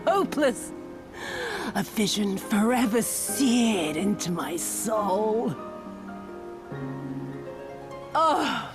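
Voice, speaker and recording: An actress playing an embittered, cruel female spy in a video game, with theatrical, deliberate delivery and archaic formal diction. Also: mid-range voice. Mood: sadistic rapture. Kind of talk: dramatic video game villain monologue. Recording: dramatic music behind the voice.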